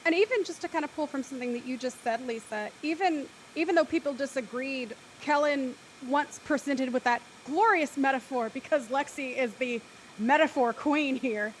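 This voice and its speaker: A woman's voice: high voice, normal speed, a little hiss or hum, low-quality recording. A woman in her thirties hosting a post-show discussion, speaking in a careful, wordy, articulate way. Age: 30s. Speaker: host and moderator.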